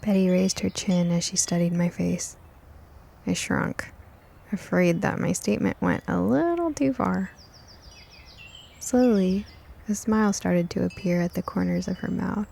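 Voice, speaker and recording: A woman's voice: lowish voice, expressive, unhurried, creaky, mellow. A woman reading scripted first-person fiction as its author and narrator.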